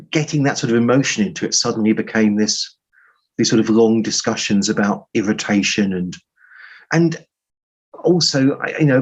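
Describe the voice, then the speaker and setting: A man telling stories as an interview guest in a halting, rambling, self-deprecating way, on muffled audio. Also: fairly low pitch, slightly rough. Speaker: guest writer. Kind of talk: conversational interview storytelling.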